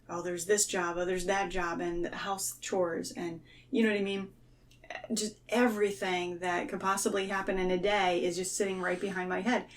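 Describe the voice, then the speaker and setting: Woman chatting casually, distant from the mic. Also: fairly low pitch. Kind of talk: casual, personal podcast chat.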